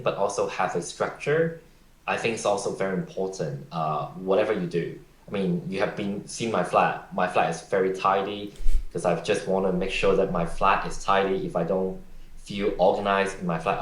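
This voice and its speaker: Low-voiced man in an echoey room. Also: normal speed. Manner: earnest, gives advice, interrupts playfully. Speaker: podcast guest. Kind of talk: casual conversation.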